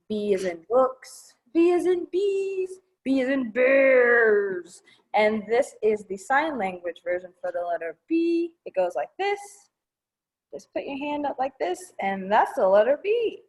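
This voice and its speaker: Female children's storytime reader, highly expressive melodic delivery, unhurried pace, simple child-directed explanations. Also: poor audio. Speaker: children's librarian, storytime presenter. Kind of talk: children's storytime read-aloud.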